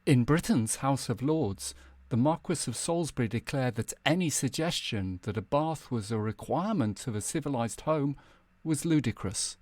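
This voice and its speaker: A man reading scripted, documentary-style news headlines in a measured, formal manner. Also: mid-range voice, animated, a little mellow, dry recording, clean studio sound. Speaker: narrator presenting historical news headlines. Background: background music.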